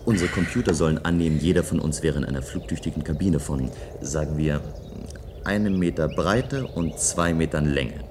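An actor playing a restless, playful man of a future city in a German radio play, with a measured, musing delivery. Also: a bass voice, background noise. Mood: playful, competitive.

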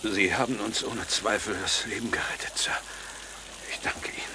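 An actor playing an exhausted gentleman castaway with sinister formal politeness in a radio play. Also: on the low side, moderate pace, creaky, full character voice. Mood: weary, coldly polite. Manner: formal, drawling, understated. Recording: noisy radio play, hissing water behind.

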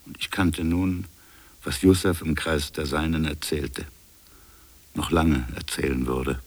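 A colonial official in a radio drama, with an extremely low voice. He is defiant yet reflective, and sets out his words slowly and solemnly, like literature told aloud. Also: steady tone, no echo. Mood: brooding, solemn.

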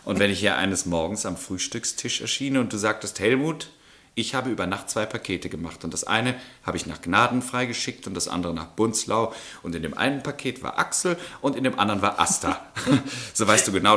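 Genteel nobleman husband, lowish voice, teasing, easygoing and a bit evasive, radio play. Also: lively intonation, brisk. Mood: teasing, amused. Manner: teasing, genteel, gently ironic. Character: easygoing, evasive aristocratic husband, charming and indecisive. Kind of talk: German radio drama acting.

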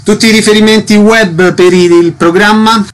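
A man's voice: high voice, fairly even intonation, moderate pace. A man hosting a radio interview, putting questions to guests and making announcements.

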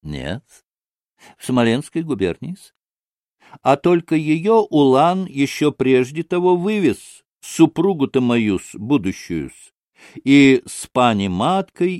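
Guy doing silly old gentleman from a novel, high wheedly voice, servile, giggly, swoopy. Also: no echo, studio quality. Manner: obsequious, drawn-out phrases, servile tags, giggling. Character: wheedling, giggly old provincial gentleman buffoon telling tales.

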